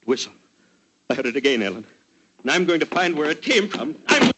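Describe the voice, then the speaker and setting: Volatile, haunted old English colonel. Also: male voice, fairly high pitch, sing-song, a little fast, background noise. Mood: frantic. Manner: theatrical, volatile, self-important. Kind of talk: 1940s radio acting.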